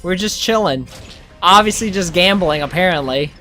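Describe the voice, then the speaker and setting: A woman's voice: fairly low pitch, expressive, normal speed, pushed and bright, soft background music. A woman streamer chatting casually and in slang while she plays a game. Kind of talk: casual livestream gameplay chat.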